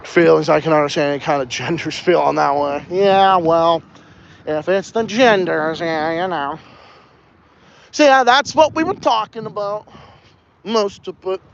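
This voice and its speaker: A man's voice: fairly high pitch, measured pace, creaky, muffled recording, slight background noise. A young man with a slight Texas Southern accent hosting a casual outdoor livestream, rambling and swearing a lot while he walks around. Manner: animated, profane, rambling, talking while walking. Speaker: podcast host.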